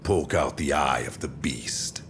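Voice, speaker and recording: An actor playing a stern, calculating military commander in a game cutscene, speaking with measured, deliberate menace. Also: male voice, rumbling low, background noise. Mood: cold, measured menace. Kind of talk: dramatic scripted game briefing.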